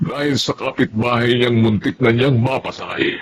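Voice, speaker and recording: An actor playing a remorseful older male employer in a radio drama, apologetic and measured. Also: medium pitch, slight background noise, close to an everyday voice.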